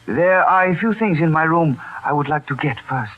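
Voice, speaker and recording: An actor playing a confident, dismissive resistance leader in a radio drama, formal and assertive. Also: male voice, a little high, expressive, normal speed. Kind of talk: old-time radio drama acting. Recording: tinny old radio recording.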